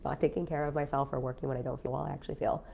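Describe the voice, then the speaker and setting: A business coach with a cold, talking casually on a live stream in a nasal, stuffy voice with lots of fillers. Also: female voice, very deep voice, flat delivery, racing, vocal fry, darkish tone, slightly rough. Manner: chatty, frank, many fillers. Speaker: business coach and podcast host.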